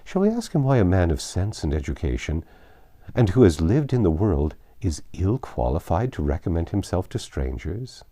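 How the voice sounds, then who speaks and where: A man voicing a witty, playful young gentlewoman in a storybook reading, with an arch, articulate manner. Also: deep voice, big pitch swings, normal speed. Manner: arch, teasing, formally articulate.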